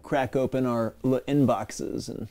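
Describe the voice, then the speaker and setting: A man's voice: mid-range voice, fairly even intonation, a little slow, dark tone. A man hosting a casual livestream, chatting in a playful, rambling show-and-tell way. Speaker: livestream host. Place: indoor personal workspace. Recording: clearly noisy room recording with clicks.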